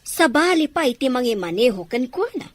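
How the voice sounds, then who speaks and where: A woman playing a chatty young village friend, expressive and sympathetic, in a radio drama. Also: high-pitched, fairly quick, dry recording.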